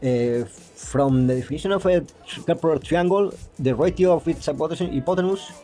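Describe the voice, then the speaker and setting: A man explaining geometry step by step, pausing and restarting often and stumbling when he reads English passages aloud. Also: on the high side, warm tone, soft background music. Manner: hesitant, with frequent restarts and fillers. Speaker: math explainer.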